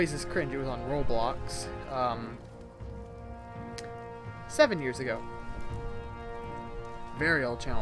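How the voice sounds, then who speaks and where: A man of twenty rambling casually to his viewers, correcting himself as he goes, with a voice he calls childish. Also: medium pitch. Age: 20s. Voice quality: self-described childish-sounding voice. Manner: rambling, self-correcting, chatty. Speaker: YouTuber. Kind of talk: casual rambling vlog chat.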